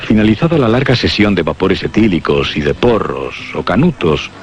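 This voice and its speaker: A grave male narrator tells a radio horror story in scripted literary prose. His Castilian voice sits very low, and music plays behind him. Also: sing-song, moderate pace, lo-fi sound. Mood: measured, ominous. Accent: Castilian Spanish.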